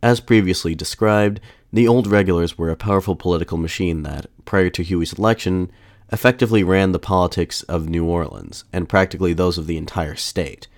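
A man hosting a podcast, narrating history in a measured, formal way, his voice slightly off after a mild illness. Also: deep voice, a little mellow, no echo, clean studio sound. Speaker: podcast host.

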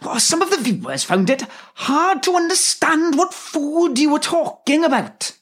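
A woman's voice: high-pitched, sing-song, unhurried. A woman playing a dithering, posh TV producer in a comedy sketch, full of hesitations.

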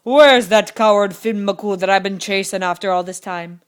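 A woman's voice: a little high, expressive, moderate pace, natural voice. A woman voicing a huge, blustering male giant who bellows and boasts in a storybook tale. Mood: menacing, boastful. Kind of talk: animated storybook character voice.

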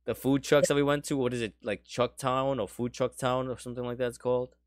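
A man's voice: mid-range voice, level tone, fast talker, no room sound, studio quality. A man co-hosting a casual podcast, chatting in a jokey way and reading out comments. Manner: jokey, laughs often. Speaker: podcast co-host.

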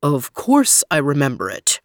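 Woman voicing a gentle elephant king for a storybook, low and steady. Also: a little slow, no room sound, clean studio sound, full character voice. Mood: surprised. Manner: calm, reassuring. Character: calm, kindly elephant king.

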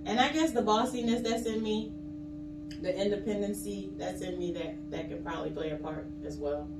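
A woman's voice: mid-range voice, moderate pace. A woman talking casually as a guest on a relationship podcast. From Haitian background. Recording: echoey room, distant mic, loud hum.

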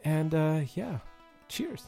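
A man's voice: a little high, animated, slow pace, gravelly, mellow, faint music behind. A man hosting a casual beer podcast, chatty and loose, with plenty of fillers. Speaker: podcast host.

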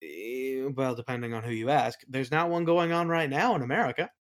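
A man's voice: mid-range voice, a little fast. Man thinking aloud in a casual interview. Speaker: interview guest, audio play creator.

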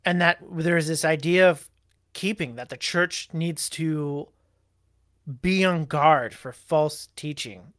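A man with a slightly high voice explains church doctrine very slowly, pausing and correcting himself as he goes. The recording is dry and close-miked. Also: a bit bright, no echo. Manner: deliberate, halting, with fillers and self-corrections. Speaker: co-host discussing a church confession. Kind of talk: Bible study discussion show.

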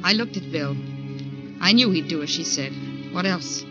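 An actress playing a jilted career woman narrating a 1940s radio thriller in a measured, restrained, bitter way. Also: fairly low pitch, expressive, music in the background, close to an everyday voice. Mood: numb, bitter. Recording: dull 1940s radio recording.

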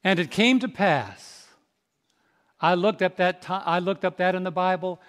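A man in his fifties preaching a sermon, quizzing the congregation with questions and swinging from soft, slow reflection to loud, rising exhortation. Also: high voice. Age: 50s. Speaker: pastor. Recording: long reverb in a large hall.

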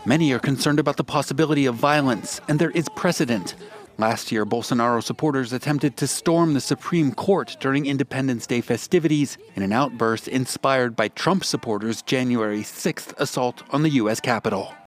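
Measured man reading a news report. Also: medium pitch. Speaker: news correspondent. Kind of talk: scripted news report narration.

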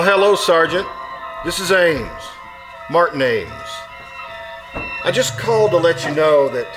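A man's voice: fairly high pitch, poor audio. A man playing a morbid, insistent, nervy schemer in a live stage re-creation of a 1940s radio suspense play, with a theatrical, coaxing delivery. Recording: live performance recording with music behind.

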